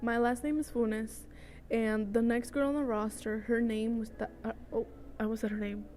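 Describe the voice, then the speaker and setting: A woman's voice: a little high, steady tone, normal speed, darkish tone. A woman in her twenties telling a personal story in a casual, chatty way, wandering into tangents and often checking in with the listener. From El Salvador. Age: 20s. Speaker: vlogger telling personal stories. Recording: noticeable noise, homemade vlog audio.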